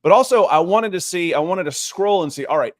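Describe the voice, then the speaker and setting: A man hosting a casual sports podcast in a chatty, animated way, greeting listeners and reading their messages. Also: sits high, a little fast, no room sound, studio quality. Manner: chatty, animated, reads chat messages aloud. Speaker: podcast host.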